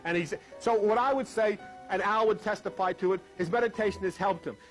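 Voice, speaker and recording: A man's voice: sits high, brisk, rough recording. A man giving an emphatic, conversational spiritual talk on meditation and scripture, explaining as a teacher does. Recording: music laid under the speech.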